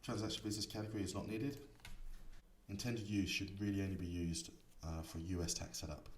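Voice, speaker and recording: A man giving a software tutorial, explaining each setting step by step in an instructor's manner. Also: deep voice, fairly even intonation, brisk. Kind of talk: screen-recorded tutorial explanation. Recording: echoey room, distant mic, faint noise.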